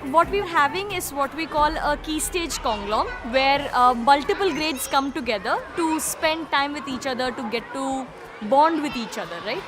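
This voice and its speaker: A woman's voice: high voice, expressive, moderate pace. A woman who teaches, explaining her work in an interview. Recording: music and crowd noise behind.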